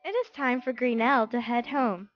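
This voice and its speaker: A woman's voice: a little high, normal speed, highs cut off. A woman reading scripted instructions as the narrator of a learning game for young children.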